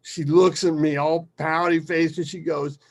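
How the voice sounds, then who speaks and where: A rough, foul-mouthed dad acted out by a male narrator tells a fond family tale in a dramatic monologue. His voice is raised and creaky, and he punches his words with colloquial emphasis. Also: fairly high pitch, little pitch movement, a little slow, voice pushed up. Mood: amused, animated. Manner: emphatic, colloquial, swearing storyteller. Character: rough, foul-mouthed, animated father reminiscing fondly about his daughter.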